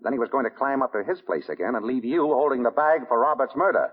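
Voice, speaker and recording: A man playing a suave, wry gentleman detective who probes suspects in a 1940s radio crime drama. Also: medium pitch, quick pace, slightly rough, lightly acted. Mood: probing, controlled. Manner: wry, urbane, probing. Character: suave, wry gentleman sleuth running a murder investigation. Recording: muffled, dull 1940s radio recording.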